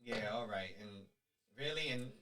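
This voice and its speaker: A man co-hosting a podcast, teasing and animated in casual chat, dropping into Spanish at times. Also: medium pitch. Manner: teasing, animated, quick interjections. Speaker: podcast co-host.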